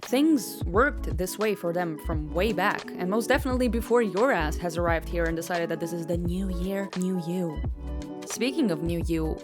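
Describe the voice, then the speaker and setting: A young woman from Egypt with a fairly low voice, narrating in a dry, sardonic, self-mocking tone. Music plays under her scripted voice-over. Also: moderate pace. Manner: sardonic, self-deprecating, deadpan. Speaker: vlogger.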